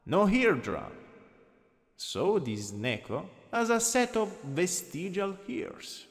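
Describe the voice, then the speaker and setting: A man with an Italian accent voicing a teasing, lowkey dominant anatomy lecturer in an ASMR roleplay. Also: big pitch swings, takes it slowly, heavily acted. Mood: instructive, playful. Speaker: ASMR voice actor. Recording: long reverberant hall echo.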